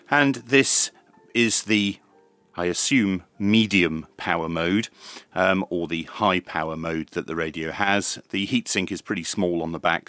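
A man in his fifties presenting a product review in a measured, methodical way, step by step. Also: low voice, fairly bright tone, no echo. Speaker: presenter of radio equipment reviews. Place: small indoor home radio workshop.

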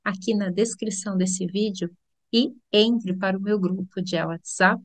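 A woman's voice: medium pitch, steady tone, unhurried. A woman in her forties from São Paulo teaching pronunciation in a home video lesson, patiently repeating each sound and checking in with tag questions. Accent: São Paulo Brazilian Portuguese. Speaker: Portuguese teacher. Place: home room, indoors.

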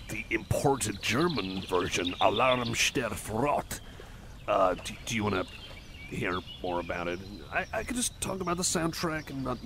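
A shy, self-conscious cyborg friend played by a man in an ASMR roleplay. The voice is fairly low with a lot of fry, and it hesitates, stammers and rambles in an apologetic, geeky way. Clear outdoor noise with birdsong sits behind it. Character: shy, rambling, apologetic male cyborg buddy, eager game nerd. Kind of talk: ASMR roleplay, casual one-sided chat. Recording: noisy outdoor ambience with birds. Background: birdsong, outdoor nature ambience.

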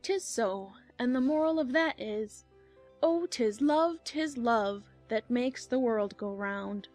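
A woman acts out a storybook duchess, affected and sententious. She gushes in a fairly high voice, with soft music behind her. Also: expressive, slow. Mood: smugly moralizing. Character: gushing, moralizing, clingy Duchess. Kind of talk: dramatized audiobook acting.

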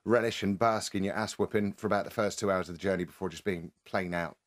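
A man's voice: low voice, little pitch movement, fast. A man hosting a podcast, teasing his guests in joking casual chat. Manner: teasing, wisecracking. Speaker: podcast host.

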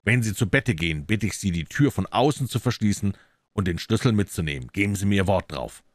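Man as a low-voiced, commanding gentleman detective. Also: animated, no echo, studio quality, close to an everyday voice. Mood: urgent, emphatic. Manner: emphatic, deliberate, courteous. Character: commanding, incisive gentleman detective, coolly assured.

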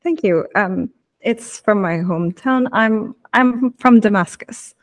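A woman improvises in an online tabletop roleplay game. She has a middle-pitched voice and a polite, tentative, casual way of putting things. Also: slow pace. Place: indoors on a video call.

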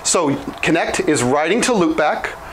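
Higher-pitched man teaching informally. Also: expressive, unhurried, fairly bright tone, a little hiss or hum, poor audio. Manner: conversational, explains step by step. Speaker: instructor. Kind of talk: informal classroom-style technical explanation. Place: small indoor office room with whiteboard. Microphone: lapel mic.

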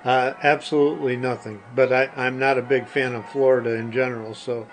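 An older man answers questions slowly, with hesitant short replies. His voice sits at an ordinary pitch. Faint music plays underneath. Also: level tone, rough recording. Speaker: guest, the hosts' father. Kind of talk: casual interview answers.

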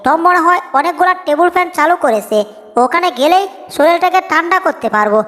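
A woman's voice: extremely high pitch, expressive, quick pace, a bit bright. A woman voicing a small, cheeky, feisty male cartoon mouse with a pert, complaining manner in a Bengali comic dub. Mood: overheated complaining. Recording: long reverb over background music.

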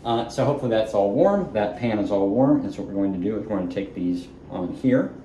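A man's voice: fairly low pitch, lively intonation, fast talker, warm tone, muffled recording. Rambling man cooking in small kitchen. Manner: rambling, self-deprecating, offhand. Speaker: home cooking vlogger. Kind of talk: casual cooking narration. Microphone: camera mic set up across the kitchen. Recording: echoey kitchen, faint frying noise. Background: frying and sizzling.

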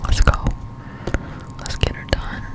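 Young female ASMR gamer: breathy close-up whisper, unhurried, casual and self-deprecating rambling over gameplay. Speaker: gameplay streamer. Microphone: close-up studio USB microphone.

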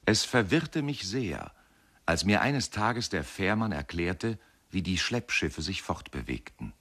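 Reflective man narrator, deep voice, measured expressive delivery, literary radio drama. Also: slightly rough, heavily acted. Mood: puzzled, wondering. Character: reflective male narrator recalling a riverside boyhood. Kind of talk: literary scripted narration, 1970s German radio play.

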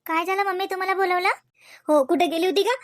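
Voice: very high voice, fairly even intonation, fast, no room sound, studio quality. A performer playing a little girl who pleads with her mother and keeps asking questions. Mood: worried.

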